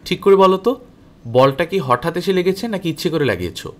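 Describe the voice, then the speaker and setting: A man's voice: sits high, animated, a little fast, pitched above the performer's own voice. Man reading as a questioning, fair-minded big brother. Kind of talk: storybook character voice.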